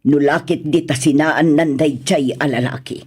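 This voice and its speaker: Husky, aggrieved Ilocano parent. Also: male voice, on the high side, steady tone, normal speed. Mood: aggrieved, worried. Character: aggrieved older in-law parent, anxious for a wronged son. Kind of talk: Ilocano radio drama acting.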